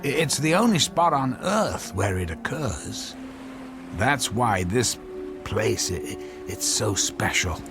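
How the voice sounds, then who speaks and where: An actor playing an obsessed, apologetic middle-aged scientist and husband in a radio drama, halting and repeating his words. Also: on the low side, lively intonation, over music. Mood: hesitant, secretive.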